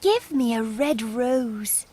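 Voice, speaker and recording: A man voicing a small, tender, devoted female nightingale in a storybook, with slow, wistful, earnest delivery. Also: very high-pitched, expressive, dark tone, slight background noise, pitched above the performer's own voice, full character voice. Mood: pleading. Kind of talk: storybook character voice.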